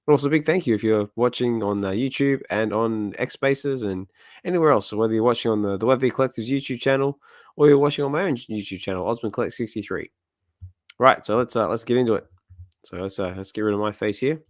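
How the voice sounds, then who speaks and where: A man with a slightly hoarse voice hosting a comic reading stream, chatting casually and rambling with lots of fillers. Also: medium pitch, fast talker, no room sound, clean studio sound. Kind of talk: casual chat.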